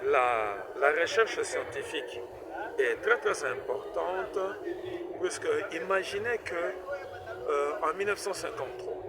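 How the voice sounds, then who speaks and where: A middle-aged man from Congo answers interview questions at a public event, pitched a little above the usual. He keeps stalling on fillers and repeating small words before going on. The recording is noisy, with vehicles heard behind him. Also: animated, slow pace. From Democratic Republic of the Congo. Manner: hesitant, many fillers and stuttered repeats. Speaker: professor and inventor interviewed at a forum.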